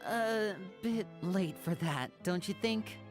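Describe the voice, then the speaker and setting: An actress voicing a thoughtful, gently wry young woman in game dialogue. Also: medium pitch, slow, soft background music, natural voice.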